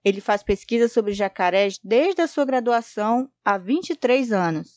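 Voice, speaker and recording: A woman co-hosting a podcast, reading scripted narration in a clear, friendly way. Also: a little high, expressive, normal speed, a little mellow, dry recording. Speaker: podcast co-host.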